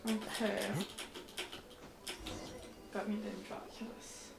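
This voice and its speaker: A woman's voice: medium pitch, little pitch movement, takes it slowly, vocal fry, background noise. A woman game streamer giving casual, flippant live commentary in quipping asides. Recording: roomy, with game music behind. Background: game music.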